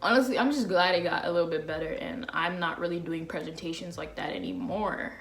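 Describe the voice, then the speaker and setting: A woman's voice: fairly low pitch, brisk. A young woman talking casually and candidly to camera as a vlogger, in a slangy way with lots of fillers. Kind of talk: candid confessional chat to camera.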